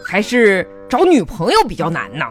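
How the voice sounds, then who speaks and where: A man's voice: high-pitched, wildly swooping pitch, normal speed. An actor playing a naive, lovesick young male student, whining and exclaiming earnestly in a live comic radio play. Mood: whiny. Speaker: comedy radio drama host. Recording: music behind the voice.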